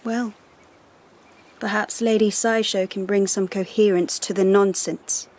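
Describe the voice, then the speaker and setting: An actress playing a haughty, icy young empress in a radio drama, imperious, formal and measured in her disdain. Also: mid-range voice, steady tone, background noise, natural voice. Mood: mocking menace.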